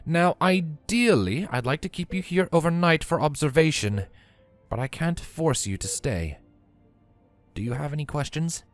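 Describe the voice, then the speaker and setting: A man voicing a brisk, courteous stallion doctor in a storybook reading, clinical and matter-of-fact. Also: fairly high pitch, expressive, soft background music, voice pushed up, close to an everyday voice. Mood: professional, calm. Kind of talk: dramatised storybook fan fiction reading.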